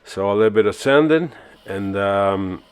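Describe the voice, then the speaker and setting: Deep-voiced man narrating woodturning. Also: unhurried, clean tone. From Argentina. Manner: relaxed and self-mocking, with many uh fillers. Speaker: woodturning channel host. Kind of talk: casual voice-over narration.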